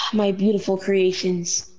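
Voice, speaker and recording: A woman's voice: medium pitch, level tone, takes it slowly, a little mellow, highs cut off. A woman playing a commanding, theatrical mad scientist who creates monsters, in an amateur game roleplay. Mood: grand, commanding. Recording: noisy amateur game recording.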